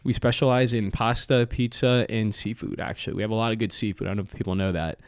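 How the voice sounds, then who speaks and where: A man's voice: fairly low pitch, fast talker, clean tone, no echo, clean studio sound. A young man whose first language is Italian, a podcast guest talking casually with lots of fillers and jokes. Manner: chatty, joking, many fillers. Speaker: podcast guest, restaurant owner. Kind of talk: casual interview talk. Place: podcast studio.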